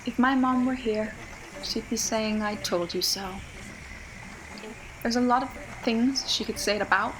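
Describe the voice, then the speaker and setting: A woman's voice: on the high side, fairly even intonation, unhurried, long pauses, lightly acted. A young woman playing a stubborn, regretful survivor who confides reflectively in an audio drama monologue. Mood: wry, wistful. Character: regretful, stubborn young woman missing someone she lost. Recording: clearly noisy, ambient background noise.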